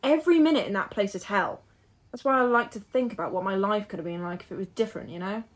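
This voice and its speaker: A woman's voice: medium pitch, fast, natural voice. A woman acting in an audio drama as a restless, hopeful young woman who chats in a musing, playful way. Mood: restless, discontented.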